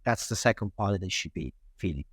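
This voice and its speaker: A man with an Italian accent, a native Italian speaker, explaining sales calls as a guest in a casual interview, animated and quick with many restarts. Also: low voice, breathy edge, dry recording. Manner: animated, talks fast with frequent restarts.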